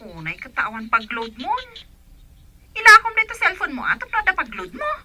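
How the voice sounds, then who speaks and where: An actress playing a brash, nagging elder sister in a radio drama, pushy and insistent in manner. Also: female voice, high voice, sing-song, moderate pace, sharp tone, slight background noise, full character voice. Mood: chiding.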